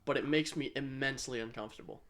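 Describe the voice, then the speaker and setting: A man's voice: mid-range voice, fast talker, a bit bright. A man co-hosting a podcast in casual banter, rambling and swearing freely with a self-deprecating streak. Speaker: podcast co-host.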